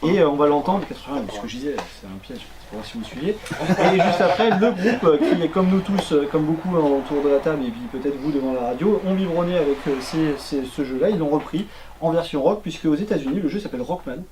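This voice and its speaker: A man's voice: fairly high pitch, lively intonation, quick pace, crowd noise, poor audio. A man hosting a radio show, chatting casually and joking as he presents.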